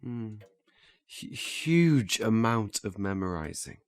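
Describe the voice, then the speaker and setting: A man's voice: lowish voice, animated, slow. A man playing an earnest, flustered learner in a naturalistic audio drama, hesitant and stammering as he restarts his phrases. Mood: hesitant, eager. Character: earnest, flustered male learner eager to master memory techniques.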